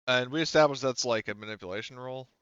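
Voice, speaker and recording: A man acting as game master in casual, improvised talk, halting as he thinks aloud. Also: mid-range voice, a bit bright, smooth, no echo, highs cut off. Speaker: game master of a tabletop roleplaying game.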